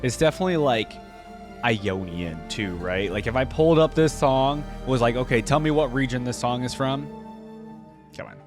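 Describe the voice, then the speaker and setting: Male reaction-video host, mid-pitched, informal and thinking out loud, faint music underneath. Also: normal speed. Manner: informal, musing aloud. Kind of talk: casual reaction commentary.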